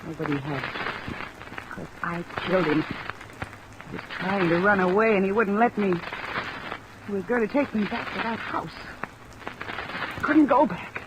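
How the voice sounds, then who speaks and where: An actress playing a young, embittered and defensive confessed killer in a 1950s radio drama, halting, emphatic and emotionally strained. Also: female voice, mid-range voice, natural voice. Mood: desperate, pleading. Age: young adult. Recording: old radio recording under rain noise. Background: steady rain sounds.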